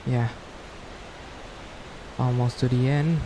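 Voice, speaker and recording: Slow-talking Malaysian gamer. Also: male voice, medium pitch, fairly even intonation, noisy background. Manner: thinking aloud, slow, humming to himself. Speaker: gameplay streamer. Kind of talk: casual live gameplay commentary.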